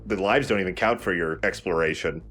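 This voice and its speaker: A man's voice: sits low, brisk, a bit bright, faint music behind. A man giving casual, explanatory and opinionated let's play commentary.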